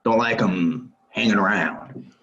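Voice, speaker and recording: A low-pitched, menacing drifter from an Old West radio play, acted by a man. He speaks tersely and probingly, suspicious of everyone, with threat sitting just under the words. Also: unhurried, a bit bright, muffled recording. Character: menacing, distrustful gunslinger stranger in a Western town. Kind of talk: Western audio play acting.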